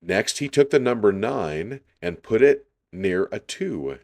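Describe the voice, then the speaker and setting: A man reading a children's story aloud in an unhurried, deliberate storytelling style. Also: on the low side, very animated, darkish tone.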